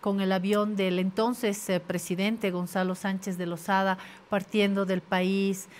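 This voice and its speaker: A woman with a Bolivian accent hosting a news interview, phrasing her questions in a measured, deliberate way. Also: medium pitch, level tone. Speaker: interviewer, host.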